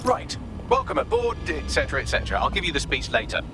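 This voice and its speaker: An actor playing a witty, sardonic AI hacker assistant in a video game, with dry, breezy, wordy sarcasm. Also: male voice, fairly high pitch, wildly swooping pitch, fast talker, background noise. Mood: sarcastic, brisk. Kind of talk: scripted video game dialogue.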